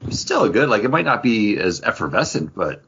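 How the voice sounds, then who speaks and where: A man's voice: lowish voice, very animated, moderate pace, a bit bright, a little hiss or hum. A man talking casually as a co-host on a podcast. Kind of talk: casual chat. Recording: dull, lo-fi podcast audio.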